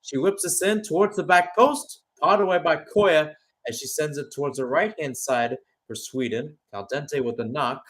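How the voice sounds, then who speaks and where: Man, fairly high-pitched, play-by-play commentator, live football radio commentary. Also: moderate pace, fairly bright tone.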